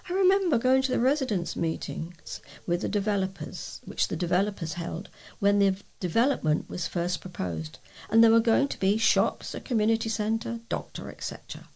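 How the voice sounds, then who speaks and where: A British woman reading readers' letters aloud as a volunteer local newsreader, with a measured delivery and the occasional stumble. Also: medium pitch, expressive, gravelly, a little mellow, poor audio.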